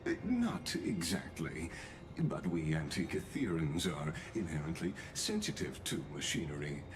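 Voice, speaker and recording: Scholarly video game schemer, courteous and measured, low register, expressive intonation. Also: male voice, full character voice. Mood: polite. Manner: formal, measured, deferential. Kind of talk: scripted video game dialogue. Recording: game audio with clear rumbling noise.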